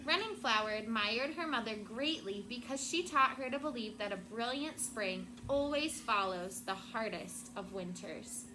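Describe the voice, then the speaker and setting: A woman's voice: fairly high pitch, lively intonation, measured pace, hardly any pauses. Woman teacher reading aloud, noisy recording. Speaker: elementary school teacher. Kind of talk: storybook read-aloud with questions to children. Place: indoor classroom with children.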